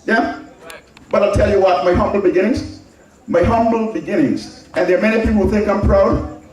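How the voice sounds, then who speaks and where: Man, Anguillian accent, high voice, emphatic orator, repeats phrases, very echoey distant recording. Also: normal speed, darkish tone. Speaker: public speaker. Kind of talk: public address with personal reminiscence.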